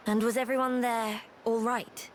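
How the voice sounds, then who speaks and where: A woman playing a patient, sincere townswoman in a video game, explaining things politely and gently. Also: a little high, flat delivery, moderate pace, slight background noise, close to an everyday voice. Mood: polite concern. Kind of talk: video game cutscene acting.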